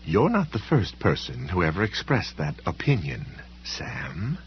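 Male reader as woman librarian, audiobook, polite, patronizing, quietly menacing, lilting, lifted pitch, muffled recording. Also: wildly swooping pitch, unhurried, heavily acted. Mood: coolly condescending. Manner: smooth, coolly dismissive, faintly patronizing.

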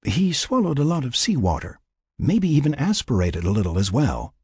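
A man voicing a calm, careful woman medic who explains things clinically in an audiobook. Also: mid-range voice, moderate pace, husky, no room sound, clean studio sound, pitched above the performer's own voice. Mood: concerned, explanatory. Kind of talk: audiobook character dialogue.

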